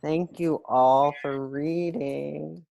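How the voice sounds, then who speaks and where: A woman's voice: sits low, fairly even intonation, extremely slow, vocal fry, warm tone, no echo, lo-fi sound. A woman talking casually as she hosts a play readthrough. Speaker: playwright hosting a readthrough. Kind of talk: casual talk.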